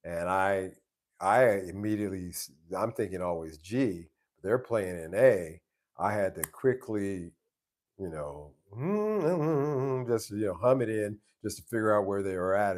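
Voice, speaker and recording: Low-voiced man chatting on a live stream. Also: a little mellow. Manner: easygoing, rambling, many restarts. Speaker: live stream host answering chat. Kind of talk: casual live stream chat. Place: live stream from home base abroad.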